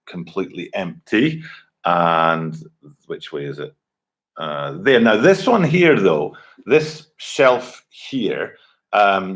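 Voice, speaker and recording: Rambling man in his forties, low voice. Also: fairly bright tone. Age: 40s. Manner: rambling, many fillers and restarts. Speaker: livestream host. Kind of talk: casual live-stream chat. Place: home, at a computer. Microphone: computer webcam mic.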